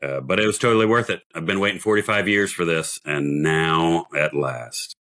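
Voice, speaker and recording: A man hosting a podcast, his voice scratchy from days of shouting, talking in a jovial, teasing, rambling way. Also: deep voice, normal speed. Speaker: podcast host. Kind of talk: casual podcast chat.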